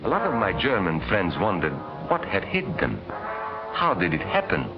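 A man's voice: lowish voice, wildly swooping pitch, moderate pace, vocal fry, over music, heavily acted. A man playing an earnest narrator in a scripted 1940s educational film. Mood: grave, emphatic. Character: earnest male narrator warning against fascism. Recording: muffled 1940s film soundtrack.

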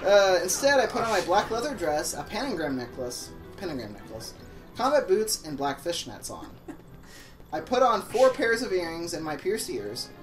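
A let's play co-host with an animated, sarcastic delivery reads fan fiction in mock-dramatic style and tosses in asides. The pitch is mid-range and swings around a lot. The voice sits a little far from the mic, with music behind it. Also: moderate pace. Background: game music.

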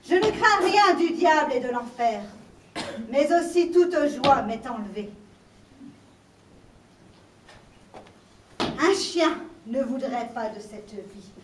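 Casting: woman playing a world-weary scholar longing for magic, very high voice, slow solemn stage delivery. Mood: bitter, weary. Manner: solemn, declamatory, deliberate. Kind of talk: theatrical dramatic monologue. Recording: echoey room, distant mic, faint noise.

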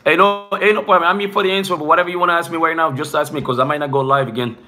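A man's voice: fairly high pitch, steady tone, rapid-fire, bright, forceful tone, soft background music. A young man whose first language is not English, casually chatting with viewers in a live stream from a small room, rambling and saying "bro" a lot. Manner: loose, rambling, peppered with "bro" and "you know what I'm saying".

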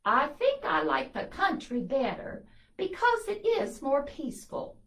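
A woman's voice: high-pitched, very animated, measured pace, creaky, a bit bright, voice pushed up, exaggerated voice. A woman voicing a timid little female country mouse in a puppet show, chatting to children. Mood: relieved, affectionate. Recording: roomy, distant from the camera mic.

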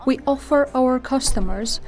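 Female corporate presenter: higher-pitched, formal and deliberate, scripted lines over a light music bed. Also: darkish tone.

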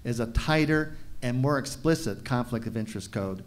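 Older man, candidate at a forum, halting speech, desk mic, meeting room. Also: medium pitch. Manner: halting, many fillers and restarts, firm on policy. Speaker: school board candidate. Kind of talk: forum statement. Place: meeting room at a panel table. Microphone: desk mic on a stand.

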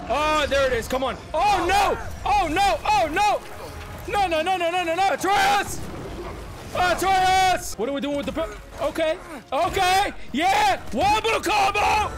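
A man giving excited gameplay reaction commentary, often shouting and repeating his phrases rapidly. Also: very high voice, heavy background noise. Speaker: game streamer and video creator. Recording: loud game music behind. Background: video game music and sound.

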